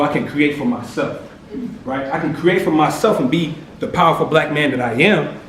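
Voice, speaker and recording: A man with a deep, powerful voice giving a motivational speech, checking in with the crowd often. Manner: punctuates lines with "right?". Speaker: motivational speaker. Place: large echoey hall. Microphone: distant from the recording mic. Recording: echoey hall from far off, light noise.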